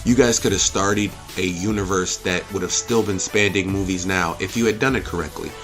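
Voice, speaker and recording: A man's voice: low voice, moderate pace, fairly bright tone, faint music behind. A man giving an opinionated, off-the-cuff review as a host, speaking emphatically, repeating himself and swearing freely. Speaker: movie reviewer and channel host.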